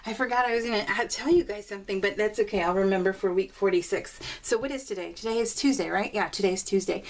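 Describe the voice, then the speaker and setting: A woman's voice: mid-range voice, fast talker. A woman vlogger chatting casually and bubbly to her viewers, rambling in a scattered way and circling back on her thoughts. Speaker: crafting vlogger.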